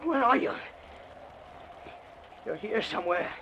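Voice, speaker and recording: An actor playing a frightened but defiant husband in a 1940s radio thriller, with blustering, emphatic delivery. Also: male voice, high voice, big pitch swings, slow, bright, forceful tone, exaggerated voice. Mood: angry defiance. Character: heavily built husband, frightened but stubborn, defiant skeptic. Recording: muffled, faintly noisy old radio recording.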